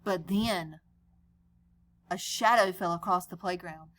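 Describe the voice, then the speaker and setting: A woman's voice: on the low side, slow, fairly bright tone, a little hiss or hum. A woman reading a picture book aloud as a teacher would, chatting conversationally to young listeners with questions and explanations. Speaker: read-aloud storyteller and teacher.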